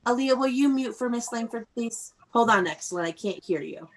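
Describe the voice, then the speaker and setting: A woman's voice: fairly high pitch, animated, fairly quick, a little hiss or hum. A woman teaching young children in an interactive online read-aloud, enunciating key words and prompting them to repeat, recorded over a video call. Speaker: elementary school teacher.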